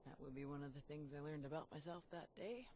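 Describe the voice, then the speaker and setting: A woman's voice: low-pitched, quick pace, darkish tone, natural voice. A woman playing a tough, cynical Jedi security chief in a video game, speaking in a blunt, world-weary way. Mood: grim, reflective. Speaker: head of security. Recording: game dialogue over clear background ambience noise.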